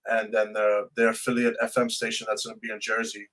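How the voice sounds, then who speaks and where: A man with a flat, even delivery co-hosts a live radio show. He promotes the station casually, building his pitch in halting phrases. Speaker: radio co-host and DJ.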